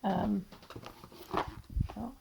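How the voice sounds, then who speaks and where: A woman chatting about a book at home, her nose blocked up from a cold. She rambles loosely, trips over and repeats her words, and laughs often. Her pitch sits at an ordinary middle height. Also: fairly even intonation, drawn-out words, creaky, noisy background. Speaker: book reviewer. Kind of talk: casual chat to camera.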